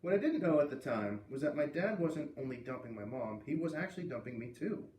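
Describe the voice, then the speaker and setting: An earnest, bookish schoolgirl voiced by a man confides gently in a classmate, in a storybook read-aloud. The voice comes from a little way off in a roomy space, with faint noise. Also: medium pitch, very animated, fairly quick, natural voice. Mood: hurt, candid. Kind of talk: children's novel read-aloud. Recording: roomy, distant mic, faint background noise.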